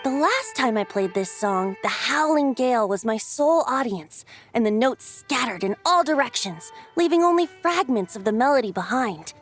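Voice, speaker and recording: High boyish bard, acted by a woman, animated intonation, playful, lyrical, teasing, fantasy game dialogue, music underneath. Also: normal speed, hardly any pauses, close to an everyday voice. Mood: warm, inviting. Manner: lyrical, airy, teasing, flowery phrasing. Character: playful, poetic, carefree young male wind bard. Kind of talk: scripted game character dialogue. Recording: studio voice over background music.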